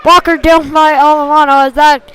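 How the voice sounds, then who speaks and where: High-pitched woman calling a hockey game. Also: moderate pace, low-quality recording. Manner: short clipped phrases, excitable on big plays. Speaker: hockey play-by-play commentator. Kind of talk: live sports commentary.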